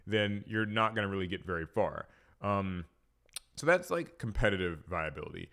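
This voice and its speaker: A man's voice: low voice, animated, moderate pace, fairly bright tone. A young man hosting a card game podcast, talking casually on his own, a bit stuffy from a cold, rambling with lots of fillers and restarts. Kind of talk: casual solo talk to camera.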